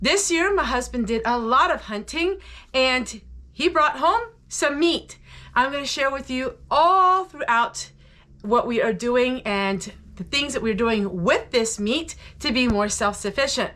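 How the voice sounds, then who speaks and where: A woman's voice: sits high, animated, measured pace, sharp tone. An older woman with an American accent, hosting a homesteading vlog and chatting to her viewers.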